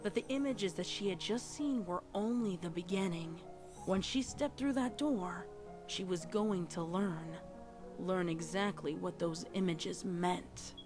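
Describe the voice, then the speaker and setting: A woman's voice: fairly low pitch, measured pace, natural voice. A woman reading a horror story as a narrator, in a dramatic scripted style. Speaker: narrator of a fan fiction audio reading. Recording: music behind the voice. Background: music.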